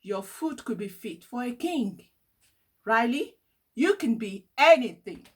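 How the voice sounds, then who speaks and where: A woman's voice: on the high side, expressive, slow, slightly rough, full character voice. A woman voicing an encouraging, upbeat older boy cousin in a storybook, reassuring and enthusiastic.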